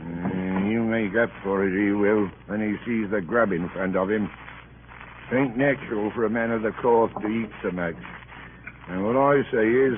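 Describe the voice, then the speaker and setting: An actor playing a suspicious seaman in a radio drama, grumbling in rough, colloquial speech. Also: lowish voice, normal speed, a little hiss or hum, lightly acted. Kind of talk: old-time radio drama acting. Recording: tinny, band-limited old radio recording.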